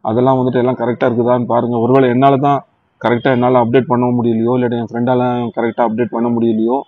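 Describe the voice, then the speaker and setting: A man's voice: mid-range voice, flat delivery, fast talker, narrow, tinny audio. A man with a Tamil Nadu accent chatting casually as he reviews gear, mixing English words into his talk. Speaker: motorcycle vlogger reviewing gear. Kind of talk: casual product review chat.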